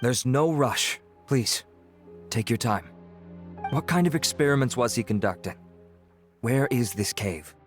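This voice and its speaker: An actor playing a polite, by-the-book male investigating officer in a video game, measured and formal. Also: medium pitch, animated, faint music behind, close to an everyday voice. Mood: polite, attentive.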